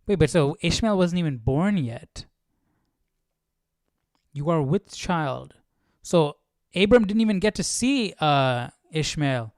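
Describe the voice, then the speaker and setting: A man's voice: fairly high pitch, expressive, slow pace, smooth, no echo, studio quality. A man hosting a Bible study stream, chatting casually and musing with questions as he thinks aloud. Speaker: livestream host of a Bible study.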